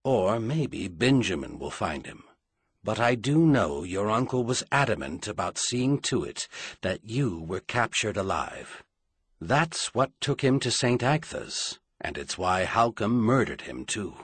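A man voicing a calm, loyal royal advisor in an audiobook, quiet and deliberate as he reasons things through. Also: lowish voice, husky, no echo, clean studio sound, exaggerated voice. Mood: sober, apologetic.

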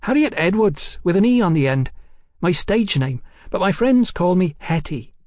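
A man voicing a high-pitched cheeky London showgirl. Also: very animated, moderate pace, darkish tone, dry recording, studio quality. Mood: bold, flirtatious. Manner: cheeky, blunt, colloquial.

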